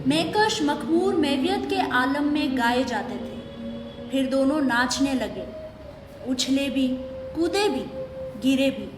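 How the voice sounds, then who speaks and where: A woman's voice: high voice, expressive, slow. A woman narrating a story dramatically in an audio play. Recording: echoey hall, music underneath.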